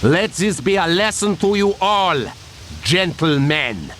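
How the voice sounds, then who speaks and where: An actor playing a haughty German general in a video game, formal and threatening, with a German accent. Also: male voice, sits high, expressive, fairly bright tone, slight background noise, heavily acted. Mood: cold menace. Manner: formal, slow, threatening, commanding. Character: haughty menacing German general addressing prisoners. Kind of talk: video game cutscene acting.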